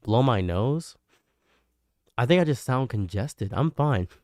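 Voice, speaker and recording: A man's voice: mid-range voice, animated, slow pace, a little mellow, no echo, clean studio sound. A young man with a nasal voice, rambling and self-mocking as he chats with his live stream viewers. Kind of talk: casual live stream chat.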